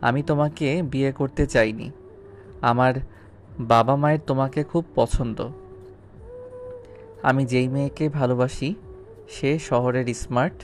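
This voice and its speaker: A man's voice: mid-range voice, a little hiss or hum, lightly acted. A man playing a blunt, reluctant schoolteacher groom in an audio drama, speaking in a firm, lecturing way. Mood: resigned, explaining. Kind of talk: Bengali audio story acting.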